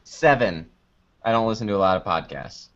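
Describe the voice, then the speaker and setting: A man's voice: on the low side, expressive, moderate pace, a bit bright, highs cut off. A man chatting casually and jokingly as a guest being interviewed, with a playful way of talking. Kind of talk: casual chat.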